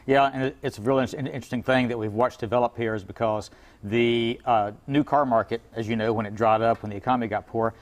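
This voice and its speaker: A man's voice: medium pitch, fairly even intonation, quick pace. Male import car shop owner, guest on a TV chat, folksy and plain-spoken, repeats himself. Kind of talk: TV interview, giving advice.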